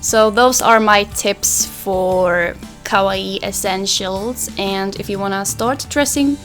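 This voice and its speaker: A woman's voice: medium pitch, takes it slowly, fairly bright tone, faint music behind. A young woman from Finland, a native Swedish speaker, chatting casually through a makeup tutorial while answering viewer questions in a small bedroom. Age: young adult. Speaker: beauty vlogger. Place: small pastel bedroom, indoors.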